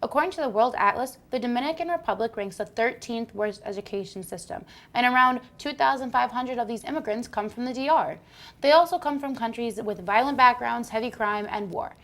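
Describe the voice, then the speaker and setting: A woman's voice: a little high, brisk, a bit bright. Woman reading a news script. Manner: reads facts and figures from a script. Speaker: student news presenter.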